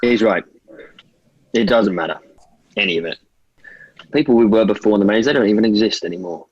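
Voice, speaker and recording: An actor playing a serious, loyal young friend in a table read, husky and measured. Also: male voice, lowish voice, a little mellow, dry recording. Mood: resolute. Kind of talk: actor's table read over video call. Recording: dull, dry video call audio.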